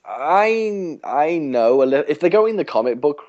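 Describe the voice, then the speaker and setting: A man's voice: on the high side, sing-song, unhurried, darkish tone, highs cut off. A man co-hosting a podcast in casual chat, hesitant with many restarts and fillers. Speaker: podcast co-host.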